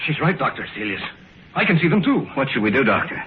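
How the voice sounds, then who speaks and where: A man's voice: mid-range voice, sing-song, quick pace, close to an everyday voice. Man acting an anxious hero, swooping old-radio voice. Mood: alarmed. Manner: urgent, dramatic. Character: earnest, anxious man facing supernatural danger. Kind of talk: 1950s radio drama acting. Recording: narrow, faintly noisy old radio.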